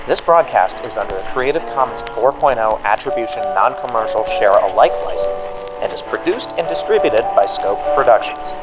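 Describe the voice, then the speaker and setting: A man's voice: medium pitch, expressive, normal speed, hardly any pauses, low-quality recording. A man reading scripted credits as the announcer of an audio production. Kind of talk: scripted narration. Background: loud music.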